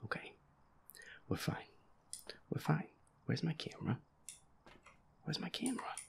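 A man muttering feisty, sweary commentary to himself as he plays a horror game, in a breathy voice. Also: on the high side, sing-song, a little slow, whispered. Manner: feisty, grumbling, swears constantly. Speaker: gamer playing a horror game as audio roleplay character. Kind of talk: hushed gaming commentary, muttering to self.

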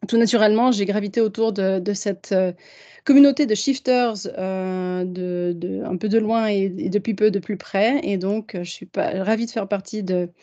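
A woman's voice: medium pitch, normal speed, mellow. Chatty woman from Canada on a video call. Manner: chatty, many fillers and restarts. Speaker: sustainability consultant. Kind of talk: video call self-introduction. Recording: dull video call audio.

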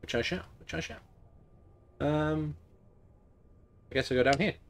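A man's voice: on the high side, unhurried, slight background noise. Man, bunged up, losing voice, chatty game host, desk mic. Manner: rambling, chatty, jokey asides. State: congested, losing his voice. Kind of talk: casual live-stream chat while playing.

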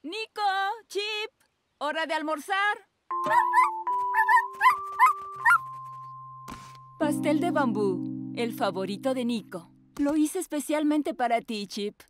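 Una mujer que dobla a una mamá panda cariñosa, alegre y expresiva en dibujos animados. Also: female voice, sits high, a little slow. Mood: motherly. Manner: gentle, expressive, motherly. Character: kind, cheerful mother panda. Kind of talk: children's cartoon dub acting. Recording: music behind the voice.

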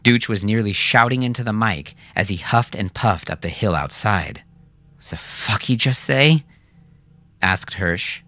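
A man's voice: deep voice, a bit bright, no echo. A man narrating a scripted fiction story as a dramatic audiobook reader.

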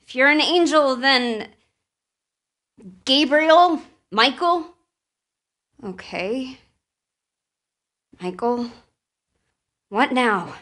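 An actress voicing a guarded, sarcastic, distressed young American woman with powers in a video game, speaking in a breathy, emotionally expressive way. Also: high voice, extremely slow, long pauses, heavily acted. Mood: alarmed, defiant. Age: young adult.

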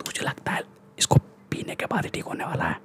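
A man's voice: fairly quick, a bit bright, natural voice. A young man with an Indian accent playing a cocky, threatening street gangster in a whispered ASMR roleplay, speaking bossy street slang close to the microphone. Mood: menacing. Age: young adult. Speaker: ASMR performer. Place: small room indoors. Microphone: close-up large desktop microphone.

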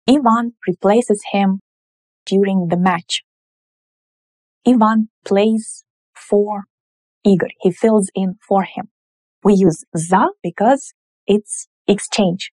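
Woman in her thirties, teaching slowly and patiently at a whiteboard, close dry sound. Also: mid-range voice, darkish tone, dry recording. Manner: slow, clear, patient explaining with gestures. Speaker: language teacher. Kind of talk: whiteboard lesson explaining grammar. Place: indoor room in front of a whiteboard.

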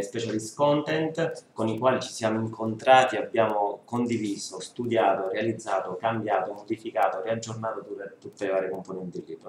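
A man in his thirties with a lowish voice explains his book earnestly, piling up lists of points. He is seated at a table and picked up by a far camera. The small room sounds echoey, with a faint hiss behind him. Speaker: book author presenting his book. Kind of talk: book presentation talk.

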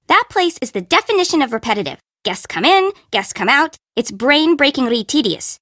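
A woman's voice: sits high, very animated, normal speed, fairly bright tone, no echo, studio quality. An actress voicing a flippant, sassy young fashion-minded city girl in a video game, with a teasing, slangy, blunt way of talking. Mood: bored, dismissive. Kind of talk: video game character dialogue.

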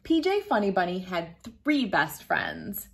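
A woman in her thirties reading a picture book aloud to children, gentle and playful, laughing now and then, recorded on a phone camera indoors. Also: lowish voice, huge pitch swings, unhurried, slight background noise. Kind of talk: read-aloud storytime for kids. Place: bright room indoors.

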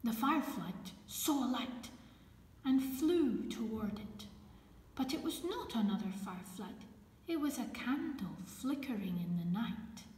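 A woman reads a picture book aloud in a fairly high voice. Her delivery is very slow and gentle. The room is echoey, with some noise behind her. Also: expressive. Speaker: storyteller.

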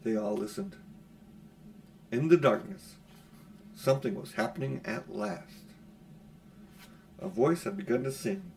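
A man's voice: mid-range voice, expressive, a little slow, vocal fry, pauses often, darkish tone. A middle-aged man reading a children's storybook aloud as an audiobook narrator. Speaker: audiobook reader. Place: small furnished room at home, indoors.

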